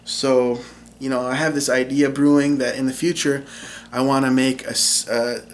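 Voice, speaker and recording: A young man with a central Minnesotan accent talks to camera, relaxed and explanatory, with lots of ums and you-knows. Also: mid-range voice, normal speed. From Minnesota, USA. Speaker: linguist and YouTube English teacher. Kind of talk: casual talk to camera.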